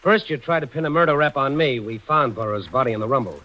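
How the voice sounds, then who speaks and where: A man's voice: medium pitch, lively intonation, fast, lightly acted. A man playing a devoted, earnest and wry husband with emphatic delivery in 1940s-style radio thriller acting. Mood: confident, wry. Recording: dull old radio recording.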